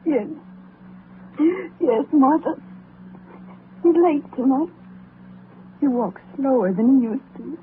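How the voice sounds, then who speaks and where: A woman's voice: high voice, expressive, slow, long pauses. An actress playing a tender, grieving mother in a 1930s radio drama, with a sorrowful delivery. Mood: grieving, tearful. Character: grieving, tender, gentle mother of a poor family. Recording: tinny, faintly noisy 1930s radio recording.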